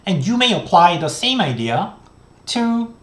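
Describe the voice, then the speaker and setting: A man in his thirties, a native Korean speaker with a Korean accent, lecturing on economics in a halting, step-by-step way with many restarts. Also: sits high, big pitch swings, roomy sound. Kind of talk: recorded online lecture. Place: small room indoors.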